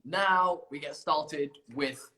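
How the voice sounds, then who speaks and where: A man's voice: fairly high pitch, takes it slowly, pushed and bright, slight background noise. A man hosting an informal live competition stream, chatty and self-deprecating, bumbling along as he presents. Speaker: competition livestream host.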